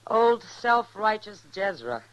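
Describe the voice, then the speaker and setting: A woman's voice: mid-range voice, sing-song, slow pace, low-quality recording. An actress playing a worldly, frank saloon woman with an American accent and a wry manner, in old-time radio western style. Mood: wry, disapproving.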